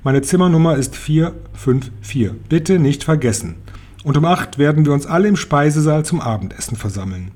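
A man's voice: fairly high pitch, expressive, moderate pace, a little mellow. A man voicing an imperious, venomous female witch leader who declaims her orders with contempt in a storybook reading. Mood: bossy, scornful.

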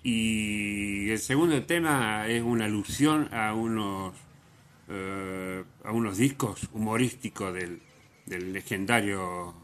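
A man hosting a radio show, telling music stories unscripted in a halting way, repeating phrases for emphasis. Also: lowish voice, fairly bright tone. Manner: halting, with frequent repetition for emphasis. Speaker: radio host.

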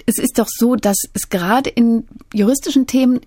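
Mellow woman hosting cultural radio. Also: on the high side, normal speed, no room sound, studio quality. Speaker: radio host. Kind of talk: cultural radio interview presenting. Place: radio studio.

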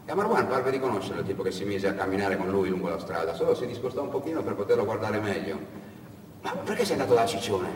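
A man giving a dramatic theatrical narration, deliberate and expressive, repeating phrases for effect. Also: on the low side. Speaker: stage actor, storyteller. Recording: echoey hall from far off, noisy.

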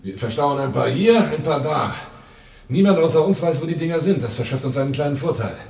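A man's voice: on the high side, expressive, brisk, vocal fry, darkish tone. An actor playing a hard-bitten, pragmatic man in a radio drama, giving terse, matter-of-fact orders. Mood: terse, commanding. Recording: roomy, distant, faint noise.

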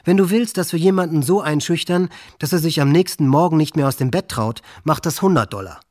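A man's voice: a little high, fairly quick, darkish tone, close to an everyday voice. An actor playing a coldly menacing, boastful teenage male school shooter in a documentary radio drama. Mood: businesslike threat.

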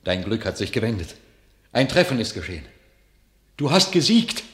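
An actor playing an excited knight bringing news of victory in a classic radio play, speaking emphatically. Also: male voice, fairly low pitch, normal speed. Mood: elated. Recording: distant voice in reverberant studio.

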